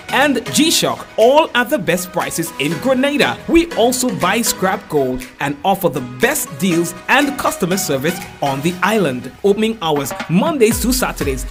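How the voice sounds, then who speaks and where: A woman delivers a brisk, upbeat store commercial with a lively, bouncing pitch. Music plays clearly beneath her. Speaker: ad announcer. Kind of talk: radio commercial read.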